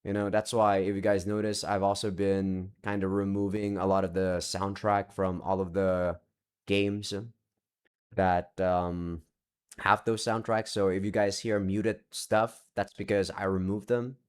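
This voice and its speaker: Rambling young man, low voice. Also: little pitch movement, a little slow, clear, resonant tone. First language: not Japanese. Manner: rambling, self-interrupting, swears casually. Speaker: visual novel let's play creator. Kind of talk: casual rambling update to viewers. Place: home room with several monitors.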